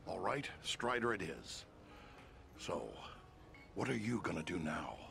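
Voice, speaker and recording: Gravelly, low-voiced dwarf warrior. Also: male voice, lively intonation, measured pace, sharp tone, a little hiss or hum, exaggerated voice. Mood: curious. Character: sturdy, plain-spoken male dwarf warrior.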